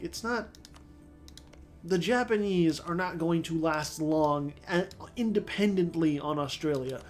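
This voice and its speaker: A man's voice: on the high side, a little mellow. A man with a sore throat and a stuffy nose, rambling casually as he comments on a strategy game, thinking aloud with lots of fillers. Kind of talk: casual let's-play commentary.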